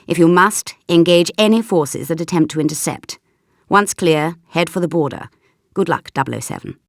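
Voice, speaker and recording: An authoritative intelligence chief acted by a woman in a video game. Her voice is slightly low with a gravelly creak. She issues orders in a clipped, commanding way. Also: normal speed, no echo, studio quality, natural voice. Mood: stern, composed. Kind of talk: scripted mission briefing in a video game.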